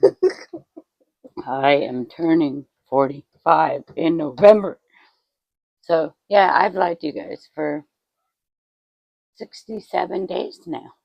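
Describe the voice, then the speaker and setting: Gravelly low-voiced woman in her forties. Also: takes it slowly, pauses often, no room sound, lo-fi sound. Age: 40s. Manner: self-mocking, swearing, laughing at herself. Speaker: vlogger. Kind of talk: casual vlog chat. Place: at home.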